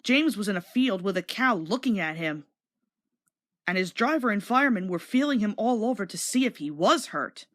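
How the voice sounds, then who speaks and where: A woman's voice: mid-range voice, lively intonation, normal speed, fairly bright tone. A woman narrating a children's storybook read-along from a script.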